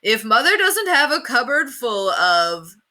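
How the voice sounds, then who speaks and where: A folksy old sheriff who hosts a kids' TV show, acted out by a woman reading a storybook. He draws his words out slowly and sells hard, with a bright, hammy showman's swing. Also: fairly high pitch, big pitch swings, pitched above the performer's own voice, exaggerated voice. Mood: showy, coaxing. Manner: drawn-out, hammy showman delivery. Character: folksy, hard-selling old male TV sheriff host for children. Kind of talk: children's storybook read-aloud.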